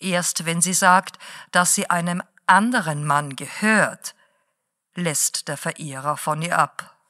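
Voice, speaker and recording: A woman as a slow, bright-toned radio lecturer. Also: fairly low pitch, steady tone, dry recording, clean studio sound. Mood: lecturing. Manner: slow, deliberate and didactic. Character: calm female late-night radio lecturer. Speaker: radio presenter.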